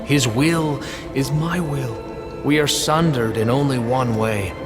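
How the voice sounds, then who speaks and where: A man voicing a zealous, pompous cult leader in a video game, making solemn proclamations over loud music. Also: mid-range voice, slow, lightly acted. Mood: exalted, solemn. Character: grandiose, zealous cult leader claiming a founder's soul. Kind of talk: dramatic video game villain acting.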